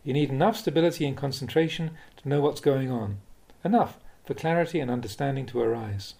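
A man, a Buddhist monk, reading spiritual teachings aloud in a measured, deliberate, instructive way, like an audiobook of a talk. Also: mid-range voice, creaky, mellow. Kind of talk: audiobook-style reading of a dharma talk with questions and answers.

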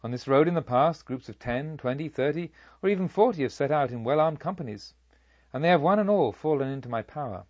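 A man playing a proud, vengeful robber chief who tells his own tale in measured, formal storytelling. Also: fairly high pitch, lively intonation, darkish tone, dry recording, muffled recording. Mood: scornful, resolute. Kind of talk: audiobook story narration in character.

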